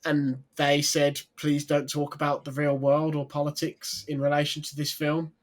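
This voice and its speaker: A man's voice: fairly high pitch, completely flat delivery, normal speed. A man co-hosting a casual video chat about games, talking with frequent ums and restarts. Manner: hesitant, many ums and restarts. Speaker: podcast co-host.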